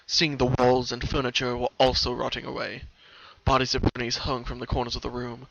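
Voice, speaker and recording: A man narrates a story in a dramatic, scripted reading style. His voice sits at a medium pitch with a sharp, bright edge. The sound is dull and lo-fi, like a home recording. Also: gravelly, no echo. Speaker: fan fiction narrator.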